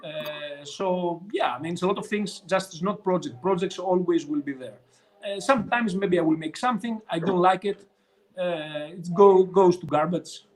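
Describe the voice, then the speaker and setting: A man from Greece with a Greek accent and Greek as his first language, a craft teacher speaking as the guest in a casual chat, hesitant with many pauses and restarts. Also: sits high.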